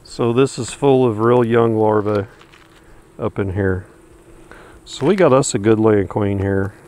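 A man's voice: on the low side, measured pace, a little mellow. A man, a beekeeper talking casually and folksily to the camera while he works outdoors. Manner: easygoing, folksy, thinking aloud with fillers. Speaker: beekeeper vlogger. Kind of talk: casual narration while working. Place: outdoors in a wooded bee yard. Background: music and buzzing bees.

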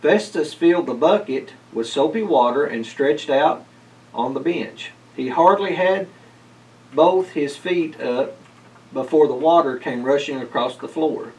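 Middle aged guy reading a kids story, takes it slow, mic sounds far. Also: fairly high pitch, a little mellow. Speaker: library board chair reading aloud. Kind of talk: scripted storybook narration. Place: indoors, library room with bookshelves.

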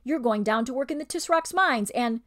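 A woman's voice: high voice, expressive, moderate pace, fairly bright tone, voice pushed up. A woman voicing a scheming, bullying male ape who snarls and lords it over the other animals in a storybook reading. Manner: snarling, domineering, sly.

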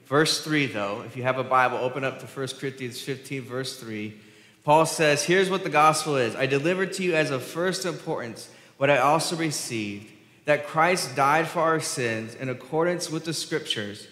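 A man's voice: mid-range voice, fairly bright tone. An American man preaching a conversational sermon as a pastor, informal and explanatory, driving points home with repetition. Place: large church sanctuary, at the pulpit. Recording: echoey church hall, long reverb.

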